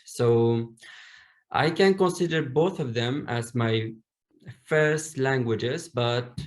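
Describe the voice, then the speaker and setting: A young man whose first language is Domari, speaking carefully and with many pauses as a panelist on a video call. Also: mid-range voice. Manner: slow, careful, pausing often. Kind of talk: online panel discussion.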